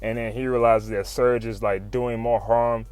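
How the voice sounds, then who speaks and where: A man giving an excited, slangy, profane comic recap as a video host. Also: mid-range voice, normal speed, smooth. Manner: slangy, profane, informal, exclamatory.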